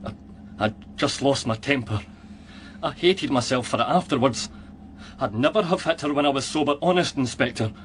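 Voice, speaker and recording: An actor playing a defensive, remorseful ex-husband in a radio crime drama. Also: male voice, mid-range voice, animated, normal speed, a little hiss or hum, lightly acted. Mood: pleading, defensive.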